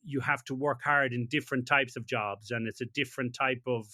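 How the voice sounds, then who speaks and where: A man hosts an interview with a bright, forceful voice. He speaks conversationally and closes his points with tag questions. The sound is close and dry, with no room. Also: medium pitch, steady tone, a little fast, no room sound. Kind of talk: casual interview chat.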